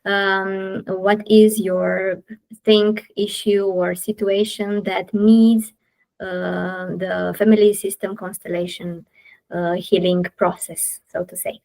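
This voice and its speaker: Slow Romanian-accented woman host. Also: medium pitch, little pitch movement, gravelly, a little mellow, low-quality recording. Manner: slow and thoughtful, many "uh" fillers. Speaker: podcast host. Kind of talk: casual interview chat.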